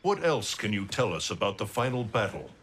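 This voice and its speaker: An actor playing a proud, stern thunder god in a video game, speaking in a formal, solemn, declamatory way. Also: male voice, on the low side, sing-song, brisk, fairly bright tone, a little hiss or hum. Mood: grave, resolute. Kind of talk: dramatic video game acting.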